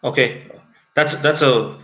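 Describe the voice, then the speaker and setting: A man's voice: medium pitch, slow pace, a bit bright. A man in his fifties from Kuwait, telling his own story conversationally as an interview guest. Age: 50s. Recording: slightly dull video call.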